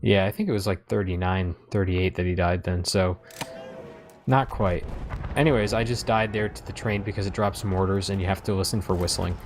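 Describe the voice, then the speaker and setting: A man's voice: deep voice, brisk, a little hiss or hum. A man chatting casually as he hosts and plays a video game with commentary. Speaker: host playing the game while commenting. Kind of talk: casual gameplay chat.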